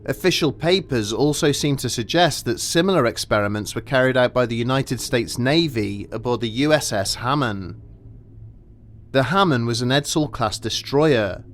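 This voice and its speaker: A man narrating a scripted documentary story in a measured, grave and formal manner. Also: medium pitch, a little hiss or hum.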